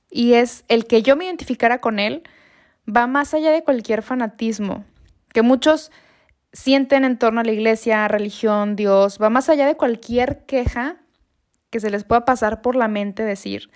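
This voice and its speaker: A young woman, telling a personal story in an earnest, reflective vlog monologue with unhurried pauses. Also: a little high, a little mellow. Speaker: vlogger.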